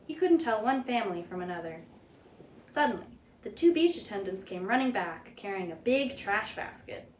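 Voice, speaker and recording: Animated woman reading a children's storybook. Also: medium pitch, normal speed. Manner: animated, dramatic read-aloud delivery. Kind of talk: expressive storybook narration for children. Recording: roomy echo, background noise.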